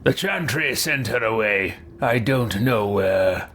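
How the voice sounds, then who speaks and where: A man's voice: fairly low pitch, expressive, slow, a bit bright, slight background noise. An actor playing a direct, questioning male adventurer in a fantasy video game. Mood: probing, controlled.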